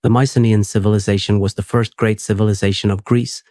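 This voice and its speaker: A man hosting a sleep podcast, reading a script in a steady, unhurried, soothing way. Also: lowish voice, dry recording, studio quality.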